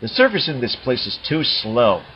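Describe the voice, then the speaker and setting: A cocky blue hedgehog hero trades quips in a lively, bouncing voice, as a performer acts him out. The fan dub sounds dull and lo-fi. Music plays behind him. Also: medium pitch, moderate pace. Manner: wisecracking, cocky.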